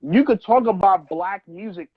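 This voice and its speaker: A man's voice: high-pitched, moderate pace, slightly rough, phone-quality sound. A man, first generation with Trinidadian parents, co-hosting a podcast and arguing his point in a heated casual debate, often adding "you know what I'm saying". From United States, child of Trinidadian parents. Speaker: podcast co-host.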